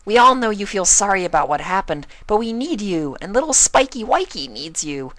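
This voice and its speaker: A man voicing a hyper, bubbly female pony who speaks in a bouncy, excitable way. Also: high-pitched, moderate pace, no room sound, voice pushed up, poor audio. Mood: eager.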